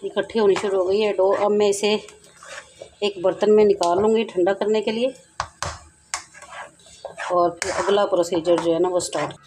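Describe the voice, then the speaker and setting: A woman's voice: mid-range voice, steady tone, moderate pace, long pauses, noisy background. A woman hosting a home cooking channel, talking her viewers through a recipe in a chatty, repetitive way while she cooks in her kitchen. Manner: chatty, repeats herself, addresses viewers directly. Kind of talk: home cooking tutorial, casual explaining. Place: home kitchen, over a stovetop.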